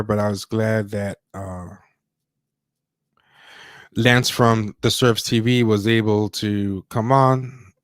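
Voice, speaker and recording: A man with a fairly low voice hosts a casual livestream. He talks through news commentary loosely, stopping, restarting and wandering between points. The sound is dry, with very little room echo. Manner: rambling, halting, self-interrupting. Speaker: livestream host.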